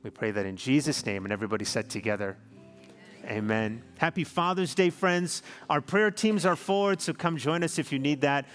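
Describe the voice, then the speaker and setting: A man's voice: on the high side, lively intonation, normal speed, a bit bright, faint music behind. A man in his thirties, a pastor giving a sermon and closing prayer, telling a family story in an earnest, pastoral way. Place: large church sanctuary. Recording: long hall reverb.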